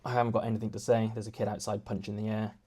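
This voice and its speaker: Young guy rambling casually at home, low-ish voice, lots of false starts, pokes fun at himself. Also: flat delivery, very fast, mellow, slightly rough. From United Kingdom. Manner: rambling, self-deprecating, many restarts. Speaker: vlogger. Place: home, indoors.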